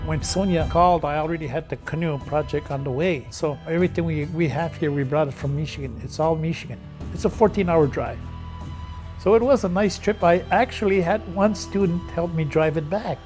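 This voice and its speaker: A man's voice: on the high side, fairly quick, darkish tone. Older man telling plain stories over music. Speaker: visiting language lecturer. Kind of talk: documentary interview.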